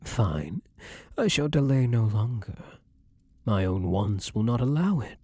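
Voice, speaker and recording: A man's voice: mid-range voice, big pitch swings, measured pace, mellow, slightly rough, no room sound, studio quality. A man playing a vampire king, an ardent, protective lover with courtly, formal phrasing, in an intimate ASMR roleplay. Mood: tender, hungry.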